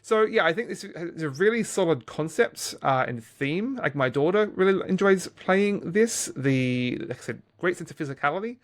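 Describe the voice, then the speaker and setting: A middle-aged man co-hosting a podcast, giving a casual game review in a halting, hesitant way with repeated words. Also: a little high, expressive. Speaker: podcast co-host.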